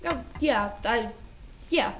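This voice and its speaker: A woman playing a responsible, caretaking older sister, careful and gentle, in a staged play reading. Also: a little high, lively intonation, slow, a little hiss or hum. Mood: subdued, patient.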